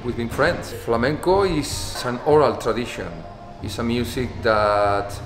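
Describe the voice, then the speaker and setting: A man, speaking thoughtfully in an interview, often repeating words. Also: on the low side. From Spain. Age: 50s. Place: dance studio, indoors. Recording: echoey hall with music behind.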